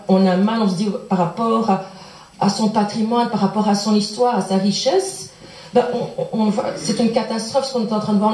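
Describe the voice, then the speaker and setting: A woman's voice: medium pitch, dark tone. A woman from Belgium, asking an earnest, searching question from the audience at a public lecture and circling back on her words. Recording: echoey conference hall.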